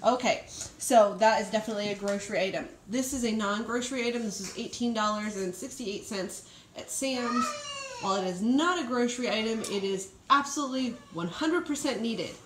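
A woman in her thirties, a vlogger, chatting casually and upbeat as she goes through her groceries and their prices, recorded on a handheld phone at home. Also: a little high. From Ohio, United States. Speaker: vlogger showing a grocery haul. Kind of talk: casual chat to camera. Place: home room with groceries on a table, TV nearby. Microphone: phone camera held in hand. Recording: echoey room, background noise.

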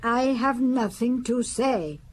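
A woman's voice: on the high side, animated, takes it slowly, breathy edge, heavily acted. An actress playing a stubborn old servant woman over seventy in a radio drama, terse and resigned. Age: older. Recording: old radio broadcast with background noise.

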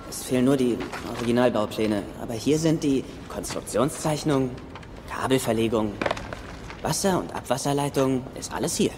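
A man's voice: medium pitch, expressive, a little fast, noisy background, close to an everyday voice. Man acting a nervous, wisecracking young sidekick. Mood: insistent. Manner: sarcastic, stammering when agitated. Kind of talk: German film dubbing.

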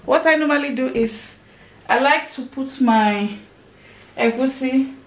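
A woman's voice: high voice, a little slow. A woman in her thirties chatting casually as she cooks in her home kitchen, recorded on a camera mic set up across the room. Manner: chatty, talks while chopping and cooking. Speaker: cooking vlogger. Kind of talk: casual cooking narration. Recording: echoey kitchen, far from mic, background noise.

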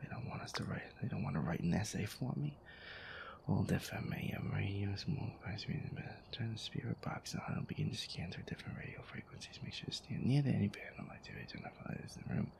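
A man playing a feisty, sweary werewolf boyfriend who grumbles his way through a horror game in an audio roleplay, his voice breathy and gravelly. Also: lowish voice, animated, quick pace, a little mellow, whispered. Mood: grumbling, uneasy. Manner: exasperated, sweary grumbling, reading game text aloud. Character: feisty werewolf boyfriend, grumpy, sweary, easily scared gamer.

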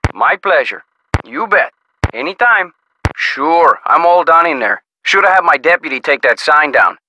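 An actor playing an easygoing, skeptical small-town sheriff in a video game, with a folksy, casual manner. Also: male voice, a little high, animated, sharp tone, dry recording, muffled recording, exaggerated voice. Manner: folksy, casual, drawn-out reactions. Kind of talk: video game character dialogue.

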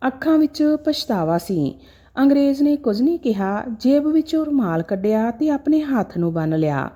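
Female narrator for sentimental moral stories, mellow tone, very lively pitch movement. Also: normal speed. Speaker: storyteller. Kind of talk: scripted dramatic narration of a sentimental moral story.

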